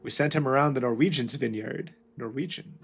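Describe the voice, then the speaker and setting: A man's voice: medium pitch, a little fast, creaky, soft background music, natural voice. A man voicing a friendly male Chilean wine shopkeeper, chatty and polite.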